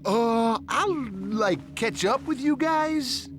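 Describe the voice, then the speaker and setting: A man voicing a clueless young surfer-dude slacker, slangy and excitable, in a cartoonish video game. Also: very high-pitched, slow, exaggerated voice. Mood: bragging, clueless. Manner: slangy, drawling, overexcited.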